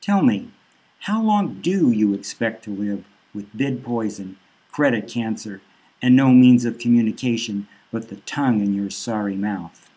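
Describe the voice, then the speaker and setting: A man voicing a cold, menacing female bank loan officer who lays out threats in a flat, businesslike way. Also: fairly low pitch, a little slow, husky, rough recording. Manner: cool, threatening, matter-of-fact.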